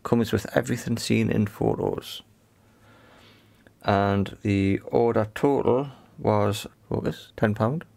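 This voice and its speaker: A man's voice: on the low side, steady tone, slow, vocal fry, darkish tone. A man giving casual, unscripted commentary on a hands-on repair, thinking aloud in short phrases. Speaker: host of an electronics repair video.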